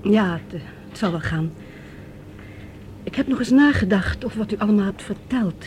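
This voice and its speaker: Woman acting as distrustful doctor, medium pitch, hesitant starts, stubborn, ruthless, radio drama, slightly noisy recording. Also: expressive, moderate pace, darkish tone, natural voice. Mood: shaken, hesitant. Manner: hesitant starts, insistent arguing. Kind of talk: Dutch science-fiction radio drama acting.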